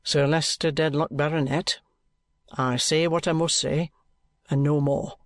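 A knowing, unhurried police detective, voiced by a male reader, steady and persistent as he presses his point. The voice sits a little high for a man and sounds close and dry. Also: no room sound. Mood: patient, insistent. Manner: steady, persistent, respectfully insistent. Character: shrewd, patient middle-aged police detective. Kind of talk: audiobook character reading.

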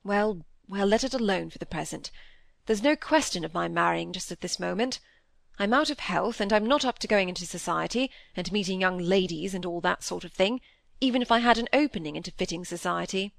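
A woman voicing a proud, touchy young gentleman in an audiobook, speaking formally and politely. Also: fairly low pitch, a little fast, vocal fry, no echo. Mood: weary, placating. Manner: formal, polite, stiffly indignant. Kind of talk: audiobook character dialogue.

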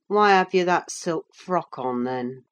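A woman's voice: lowish voice, lively intonation, slow pace, smooth, muffled recording. A woman voicing a sullen, jealous teenage boy with a blunt, insistent manner in a classic novel audiobook. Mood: suspicious. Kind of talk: audiobook character acting.

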